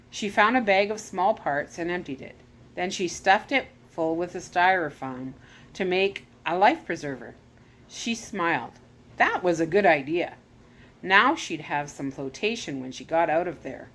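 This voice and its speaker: A woman reading a children's chapter book aloud as a storyteller, expressive and animated, now and then correcting herself. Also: fairly low pitch. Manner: expressive read-aloud with lively rises on exclamations; occasional self-corrections.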